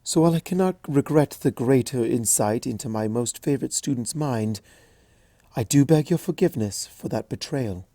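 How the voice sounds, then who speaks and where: Celestia, a man voicing a gentle regal princess. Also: medium pitch, dry recording. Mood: tender. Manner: calm, slow, hushed and kindly. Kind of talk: fanfic audiobook reading.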